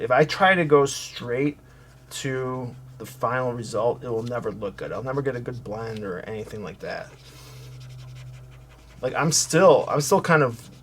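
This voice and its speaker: A man hosting a coloring tutorial, talking casually and softly as he works, thinking aloud with fillers and restarts. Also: medium pitch. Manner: relaxed, thinking aloud while working, with fillers and self-corrections; soft-spoken, hoping to sound calming. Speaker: art tutorial host.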